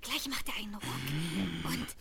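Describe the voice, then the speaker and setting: A female performer playing a lively, chatty young circus girl in a children's radio play on old vinyl. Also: mid-range voice, moderate pace, very noisy. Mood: knowing, eager.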